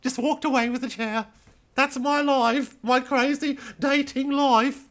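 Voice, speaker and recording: A man putting on a mock stand-up comic who tells hacky dating jokes in a squeaky voice with punchline timing. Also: steady tone, a little slow, fairly bright tone.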